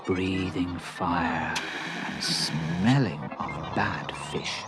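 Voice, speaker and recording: A man's voice: low voice, extremely slow, loud music behind the voice. A man narrating a children's story in a dramatic, suspenseful way.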